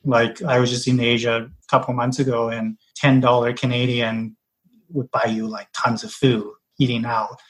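Male guest from Taiwan, calm explainer, middle-pitched voice. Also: moderate pace, rough recording. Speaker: personal finance blogger and podcast guest. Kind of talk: casual panel discussion.

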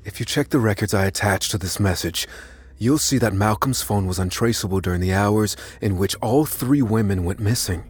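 A low-voiced anxious thriller narrator. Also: male voice, normal speed, husky. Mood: grave, intent. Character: anxious unassuming man recounting his hunt for a killer. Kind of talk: first-person dramatic narration in an audio thriller. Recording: music under the voice. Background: music.

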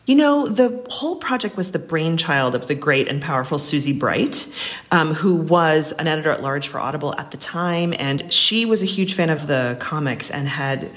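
American female interview guest, low pitch, reflective, wry, casual talk. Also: animated, normal speed.

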